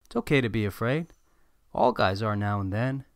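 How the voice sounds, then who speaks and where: A man's voice: lowish voice, moderate pace, dry recording, clean studio sound, close to an everyday voice. A man reading the part of a reassuring, encouraging picture-book father with supportive, casual buddy talk. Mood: concerned, reassuring.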